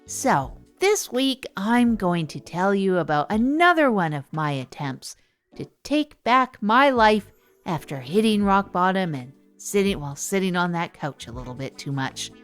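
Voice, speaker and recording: Awkward, earnest comedy podcast host played by a woman, mid-low female voice, digressive storyteller, faint music. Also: big pitch swings, unhurried. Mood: earnest rambling. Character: Tog, awkward down-on-their-luck small-town podcast host. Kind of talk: rambling comedic monologue storytelling.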